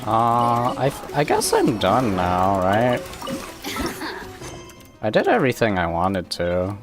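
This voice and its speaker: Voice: medium pitch, huge pitch swings, slow pace, music in the background. A game streamer chatting casually and rambling to themselves and viewers while playing. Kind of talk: casual game livestream chat.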